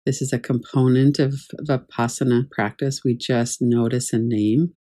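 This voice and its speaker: A woman teaching guided meditation in a calm, measured, gently instructive way. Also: very deep voice. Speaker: yoga nidra meditation teacher.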